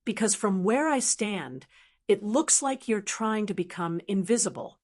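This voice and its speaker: A woman's voice: medium pitch, lively intonation, unhurried, darkish tone. A woman voicing a male teacher in an audiobook, pressing with earnest questions. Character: male language teacher, earnest, probing, protective.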